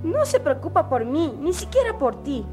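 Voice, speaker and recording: A woman's voice: high-pitched, sing-song, normal speed, darkish tone, faint music behind. A woman voicing a young boy who feels ignored by his father, eager and then hurt. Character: young boy, lonely and unsure of himself.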